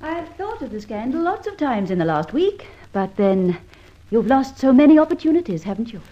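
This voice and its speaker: A wry, teasing accomplice. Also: female voice, fairly high pitch, sing-song, moderate pace, dark tone. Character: wry, conspiratorial young woman accomplice. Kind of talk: 1940s radio acting. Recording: dull lo-fi old radio recording.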